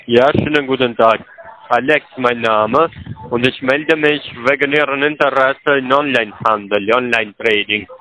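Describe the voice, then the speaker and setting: A man's voice: medium pitch, normal speed, sharp tone, dull audio, slight background noise. A man calling as an account manager, repeating himself and slipping between formal and informal address. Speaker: scam call-center caller.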